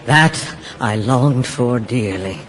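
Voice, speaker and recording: A male actor playing an uneasy, melancholy businessman of middling pitch. He broods slowly in short halting phrases, as in a stage play, with faint background noise. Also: lightly acted. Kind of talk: audio stage play dialogue.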